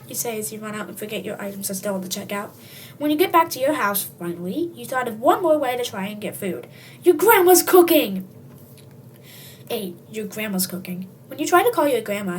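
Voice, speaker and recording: A high, youthful voice reading a comic story aloud in a dramatic, tongue-in-cheek way. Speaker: storybook reader. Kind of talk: comic read-aloud narration in second person. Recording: distant mic, faint background noise.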